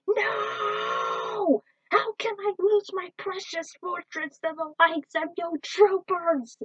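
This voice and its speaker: A woman voicing a theatrical, gloating monster villain in a fan story, with drawn-out declamatory taunts, recorded lo-fi. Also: very high-pitched, steady tone, fairly bright tone, voice pushed up, exaggerated voice. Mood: furious, defeated. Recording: dull lo-fi home recording.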